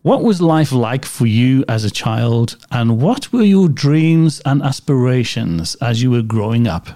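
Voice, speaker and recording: A man's voice: mid-range voice, big pitch swings, a little slow, dark tone. Upbeat man hosting a podcast. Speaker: podcast host. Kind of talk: scripted show intro then interview.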